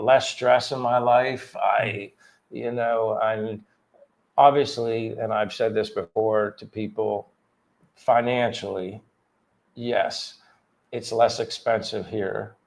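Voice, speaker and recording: A man in his fifties from Pennsylvania, chatting earnestly and a bit ramblingly on a livestream. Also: lowish voice. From Pennsylvania, USA. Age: 50s. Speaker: livestream co-host. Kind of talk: casual livestream chat.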